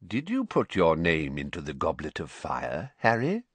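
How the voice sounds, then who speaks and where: A man voicing a calm, courteous, firm elderly headmaster in an audiobook, measured and polite. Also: sits high, no room sound, voice pushed up.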